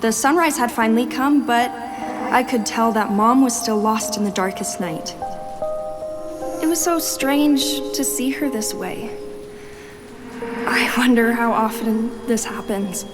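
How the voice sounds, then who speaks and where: An actress playing a wistful, tender young ghost daughter who narrates an audio drama. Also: female voice, a little high, unhurried, pauses often, music in the background, close to an everyday voice. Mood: tender, sad. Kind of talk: scripted first-person drama narration.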